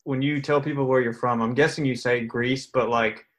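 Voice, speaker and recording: A man's voice: medium pitch, fairly even intonation, moderate pace. A young man hosting a casual podcast interview, asking questions in an easygoing way full of "like". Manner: easygoing, filler-heavy questions. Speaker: podcast host.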